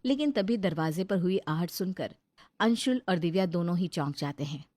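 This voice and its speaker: A woman narrating a Hindi moral story in a steady, plain storytelling manner. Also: lowish voice, normal speed, no echo.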